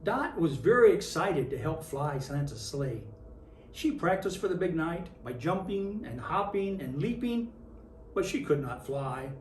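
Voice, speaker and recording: A reader in character as Santa Claus, reading a children's picture book aloud with expressive storytelling. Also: medium pitch. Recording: roomy, distant mic with faint noise.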